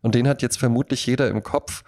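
A man with a mid-pitched voice talks as a podcast host in a casual music chat. He tells things in a chatty, anecdotal way, like recounting stories to a friend. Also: steady tone, fast, a little mellow.

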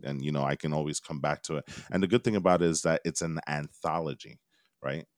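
Super deep laid-back guy hosting a podcast remotely, dry sound, lots of ums. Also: steady tone, quick pace, no echo. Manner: laid-back, rambling with fillers. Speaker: podcast host. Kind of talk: casual chat.

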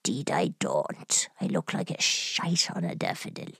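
A woman's voice: rumbling low, wildly swooping pitch, measured pace, a bit bright, breathy edge, no echo, pitched below the performer's own voice, full character voice. A woman voicing a frail, dying aunt in her eighties, wry and blunt, in a radio play. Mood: dryly self-mocking. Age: 80s. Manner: dry, blunt, fond.

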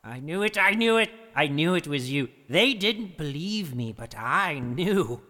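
A man performs a panicky, craven lord in a fantasy audio drama, high and sharp in voice. He whines and sputters fussily, his pitch leaping about, in a long reverberant hall. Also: animated, a little slow. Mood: terrified, pleading. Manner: fussy, sputtering, pleading. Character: cowardly, whining, panicked deposed nobleman.

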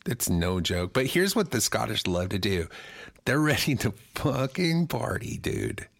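A man's voice: lowish voice, big pitch swings, a little slow, vocal fry, a bit bright, no echo, clean studio sound. A male podcast co-host and singer getting over a cold, joking crudely in a rambling, casual way.

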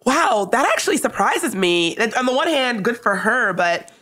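Sniffly, raspy woman with a cold. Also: fairly high pitch, normal speed. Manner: animated, opinionated, rambling. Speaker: podcast co-host. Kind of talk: casual conversational podcast.

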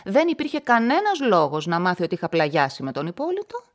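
An actress narrating as a guilt-ridden, pious spinster in a radio drama, in a hushed, confessional whisper. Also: female voice, on the low side, wildly swooping pitch. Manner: tense, confessional, anxious. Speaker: first-person narrator of a crime story.

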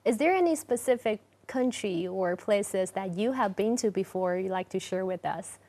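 A woman's voice: mid-range voice, lively intonation, moderate pace, dark tone. Woman host steering a panel chat. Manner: friendly, steering the talk. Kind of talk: hosting a casual panel chat.

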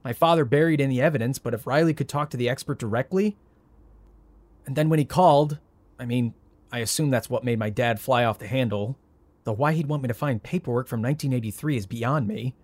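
A man playing a frazzled, sarcastic young heir in an audio drama, with a wry, flustered, halting delivery. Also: mid-range voice, a little mellow, close to an everyday voice. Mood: uneasy. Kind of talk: audio drama acting, naturalistic.

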